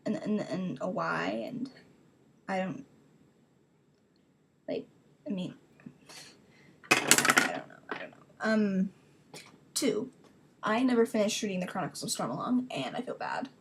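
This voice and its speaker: A woman's voice: mid-range voice, expressive, a little slow, creaky, long pauses, fairly bright tone, breathy edge. A woman giving a dramatic reading of her own childhood story, rambling into self-mocking casual asides.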